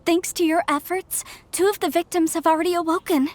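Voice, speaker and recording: Grateful village woman, highish voice, polite. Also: fairly even intonation, a little fast. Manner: warm, grateful.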